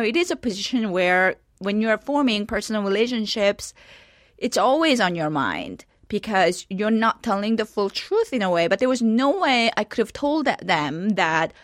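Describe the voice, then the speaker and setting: A woman's voice: medium pitch, no echo, clean studio sound. A middle-aged woman from South Korea, an author and journalist answering radio interview questions in an earnest, reflective way, often restarting her sentences.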